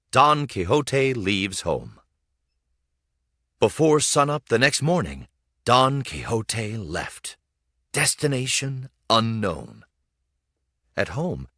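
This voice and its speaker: A man reading a children's story aloud as scripted narration, with expressive, dramatic storytelling emphasis. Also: on the low side, no echo, studio quality. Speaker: storybook reader.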